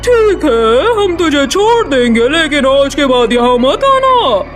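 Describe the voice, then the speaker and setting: A woman's voice: sits high, big pitch swings, takes it slowly, soft background music, full character voice. A woman voicing a frightening, commanding demoness in a cartoon, stern and menacing. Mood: stern, relenting. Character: terrifying female demoness, menacing but able to relent. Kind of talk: Hindi cartoon character voice.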